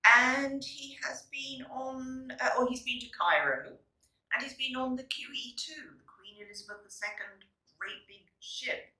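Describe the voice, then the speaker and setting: A woman teacher reads a children's story expressively, pausing to explain and address young listeners in a deliberate, instructive way. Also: high voice, bright, forceful tone. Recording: roomy echo, distant microphone.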